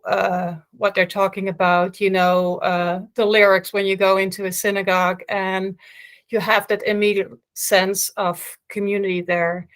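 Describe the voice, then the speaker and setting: A woman from the Netherlands with a Dutch accent, speaking as a panelist and introducing herself in a measured way with many fillers. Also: medium pitch.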